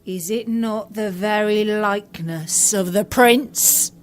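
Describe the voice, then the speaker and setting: Woman playing a slow, uneasy palace guard. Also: medium pitch, faint music behind, natural voice. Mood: solemn. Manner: slow, dramatic, mock-Shakespearean. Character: burly, superstitious, uneasy male palace guard.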